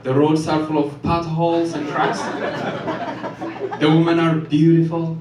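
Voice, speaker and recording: A man from Ethiopia performing a stand-up set in a comedy club, delivering jokes deadpan and chuckling at his own lines. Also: fairly high pitch, measured pace. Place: comedy club stage with live audience. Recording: echoey club room, from far off, loud noise.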